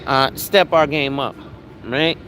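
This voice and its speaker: A man's voice: mid-range voice, slow, fairly bright tone, low-quality recording. A man, streaming live on a city street and talking in a blunt, slangy, profane, rambling way. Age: 40s. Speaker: financial advisor, live streamer. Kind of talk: casual live-stream talk. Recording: street noise around.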